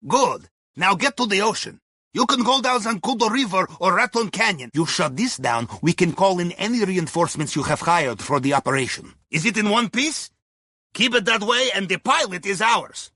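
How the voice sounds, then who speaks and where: An actor playing a genial, wily middle-aged Russian accomplice with a wry, conspiratorial manner, guiding the player in a video game. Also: male voice, high voice, bright, forceful tone, husky, no echo, clean studio sound, full character voice. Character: genial, wily Russian submarine captain's aide and smuggling accomplice. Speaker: mission handler over comms. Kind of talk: video game character dialogue.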